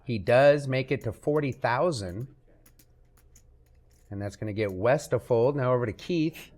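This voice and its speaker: A man's voice: medium pitch, unhurried, darkish tone. A man hosting a televised poker tournament with upbeat broadcast presentation and commentary. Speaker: poker show host and commentator.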